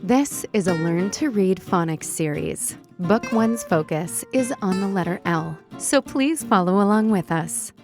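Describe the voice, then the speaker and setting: A woman hosting a children's read-aloud, narrating and teaching early reading in simple, deliberate wording. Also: medium pitch, lively intonation, music in the background. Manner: simple, deliberate wording, instructing young readers. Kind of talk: slow phonics storybook read-aloud for young children.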